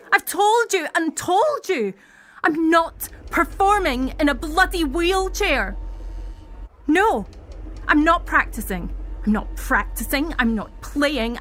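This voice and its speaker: A woman's voice: high voice, a bit bright, exaggerated voice. An actress playing a prickly, sardonic woman in a naturalistic audio drama, speaking bluntly. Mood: angry, insistent. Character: prickly, sardonic Scottish woman wheelchair user named Elsa.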